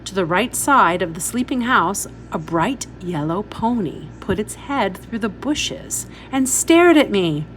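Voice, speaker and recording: Woman teacher reading aloud, lively intonation. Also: medium pitch, a little hiss or hum, rough recording. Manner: instructive, pausing to point out details. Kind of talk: expressive read-aloud storytelling.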